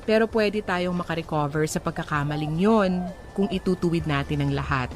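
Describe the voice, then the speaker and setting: A woman's voice: on the low side, moderate pace, soft background music. A woman co-hosting a radio show, giving advice. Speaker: radio co-host.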